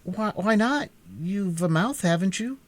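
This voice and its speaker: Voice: medium pitch, expressive, pitched above the performer's own voice, lightly acted. A reader voicing a sulky, impatient young boy in a storybook. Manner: impatient, quick to complain.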